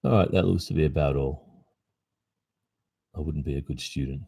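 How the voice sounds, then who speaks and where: Very deep-voiced Australian man. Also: normal speed, vocal fry, dark tone, husky. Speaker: ASMR roleplay streamer. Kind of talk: casual livestream chat answering listener questions.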